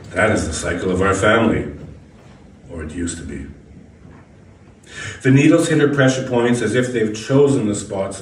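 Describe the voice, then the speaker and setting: A man reading a first-person short story aloud as scripted narration, now and then stumbling over a word. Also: fairly low pitch. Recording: echoey room, distant mic, background noise.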